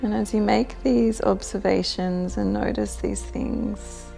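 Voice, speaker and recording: A woman leading a guided meditation with gentle, soothing instructions. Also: mid-range voice, steady tone, slow, gravelly, faint music behind.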